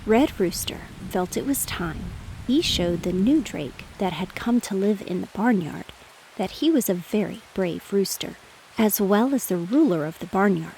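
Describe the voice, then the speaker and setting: A woman's voice: mid-range voice, expressive, a little slow, rough recording. A woman reading a bedtime storybook aloud as a scripted narration. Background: rain, thunder and soft hiss.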